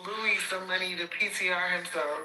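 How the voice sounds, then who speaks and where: Speaker, bright tone, animated storytelling, faint background noise. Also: medium pitch, moderate pace. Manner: animated storytelling, quoting others. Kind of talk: casual online group chat. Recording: roomy audio with faint noise.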